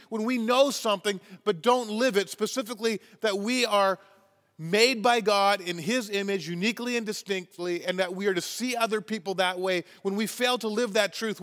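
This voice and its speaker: Bright-voiced pastor preaching in a hall. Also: male voice, high-pitched. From Canada. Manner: earnest, emphatic, gestures constantly while preaching. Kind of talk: sermon. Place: church stage with wooden wall, large hall.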